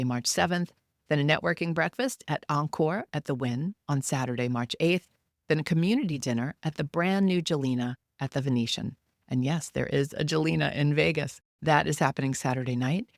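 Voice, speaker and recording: A woman hosts a podcast in a voice with a lot of vocal fry. The sound is dry and close, and she moves between scripted announcements and interview questions. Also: low-pitched, no room sound. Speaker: podcast host.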